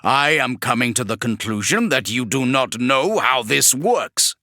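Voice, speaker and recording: A chained demon with a low, unhurried drawl, every word dripping with cold irony, as a male narrator puts him on. The voice swings expressively through its lines. The recording is dry and close, with no room sound. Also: lively intonation, sharp tone, dry recording. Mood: ironic menacing. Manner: slow, ironic, menacing.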